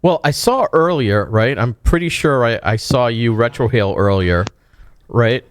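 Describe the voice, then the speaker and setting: A man's voice: mid-range voice, moderate pace. A man co-hosting a podcast in casual chat, asking follow-up questions and often checking in with "right?". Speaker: podcast co-host.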